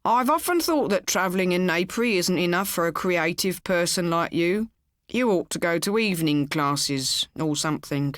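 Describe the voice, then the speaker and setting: A middle-aged husband in an audiobook tale, played by a woman reader. He is kindly and a bit of a bore, fussing gently and doubting mildly, heard in a dry, close recording. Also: on the low side, expressive, normal speed, no room sound. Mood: sceptical.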